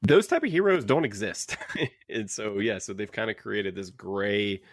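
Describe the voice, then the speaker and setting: A man's voice: fairly low pitch, lively intonation, measured pace, no echo, studio quality. A man co-hosting a podcast, chatting casually and offering opinions with joking asides. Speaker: podcast co-host. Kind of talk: casual book club discussion.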